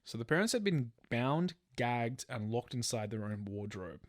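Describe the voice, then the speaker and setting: A man's voice: mid-range voice, expressive, moderate pace, no echo, studio quality. A man telling a true crime story as a podcast host, partly from a script. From Australia.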